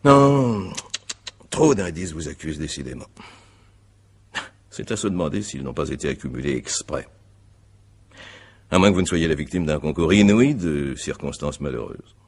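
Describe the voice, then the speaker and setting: An actor playing a stern, methodical examining magistrate in a radio mystery drama, questioning formally and deliberately with a gravelly voice. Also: male voice, extremely low pitch, lively intonation, full character voice. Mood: grave, accusing.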